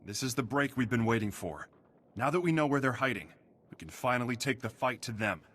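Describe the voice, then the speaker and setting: Forceful military warden, bright and tense. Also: male voice, medium pitch, expressive. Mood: stern, urgent. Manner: brisk, military briefing delivery. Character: gruff, duty-bound male prison station warden officer. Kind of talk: video game voice acting.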